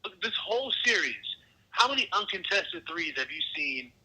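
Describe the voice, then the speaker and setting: Bright, husky-voiced young man talking sports. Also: high voice, very animated, normal speed, low-quality recording. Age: young adult. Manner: emphatic, rambling, swears casually, many restarts. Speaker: podcast host. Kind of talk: casual sports chat.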